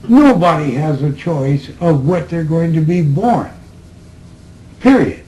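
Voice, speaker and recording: A man talking slowly and reflectively to a small group in a room, recorded on tape, with laughs between his remarks. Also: on the high side, big pitch swings, darkish tone, rough recording. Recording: old tape recording with faint hiss.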